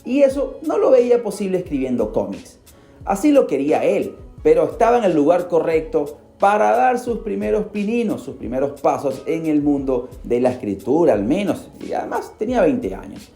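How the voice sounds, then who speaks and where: A man's voice: very animated, normal speed, gravelly, mellow, echoey room, faint music behind. A man hosting a radio podcast, telling a story in a theatrical way and speaking straight to the listener. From Peru. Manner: theatrical, addresses listener directly, swings pitch for emphasis. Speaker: podcast host. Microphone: studio microphone.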